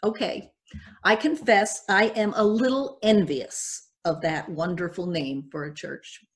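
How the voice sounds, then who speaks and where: Measured older woman preaching from a pulpit. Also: mid-range voice, fairly even intonation. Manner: measured, reflective, reading from notes. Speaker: pastor. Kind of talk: sermon. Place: church sanctuary at a pulpit.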